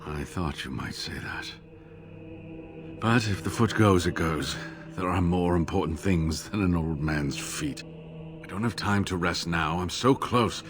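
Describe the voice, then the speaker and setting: An actor playing a wounded, devoted elderly scholar in a video game, speaking in a halting, earnest way. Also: male voice, sits low, music in the background. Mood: earnest, pleading. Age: older.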